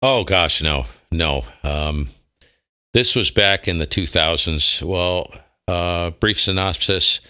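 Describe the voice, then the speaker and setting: Middle aged guy on ham radio, low voice, crisp, chatting away and telling stories real casual. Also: sharp tone. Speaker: amateur radio operator. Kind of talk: casual radio chat, storytelling.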